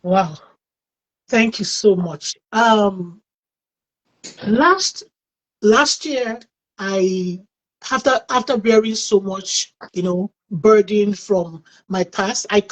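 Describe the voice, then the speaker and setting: A woman's voice: medium pitch, takes it slowly, poor audio. A Nigerian woman in her thirties or older hosting an interview, thanking her guest effusively and often repeating words as she speaks. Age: 30s or older. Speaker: host.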